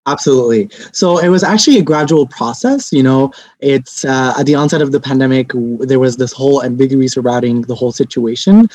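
A young man from Lebanon, a guest on a podcast, answering politely and in a measured way, with some "uh" and "um". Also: medium pitch, darkish tone, husky, no room sound. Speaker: podcast guest, research coordinator. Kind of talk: interview answers. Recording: dry, dull lo-fi remote mic.

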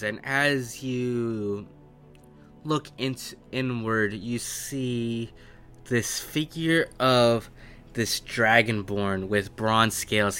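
A man narrating a tabletop roleplaying game as game master, improvising his descriptions in halting phrases. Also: medium pitch, sharp tone, clear, resonant tone. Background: background music.

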